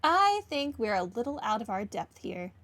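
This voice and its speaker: A woman's voice: fairly high pitch, sing-song, normal speed, fairly bright tone. A woman giving casual, wry commentary on a video game playthrough, thinking aloud as she goes. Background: game music.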